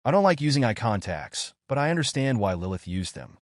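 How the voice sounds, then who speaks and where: A composed young manga mage, voiced by a man, weary and brooding. He speaks with restraint at a middle pitch that still moves around a lot, with a dry close sound. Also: sing-song, fairly quick, dry recording, lightly acted.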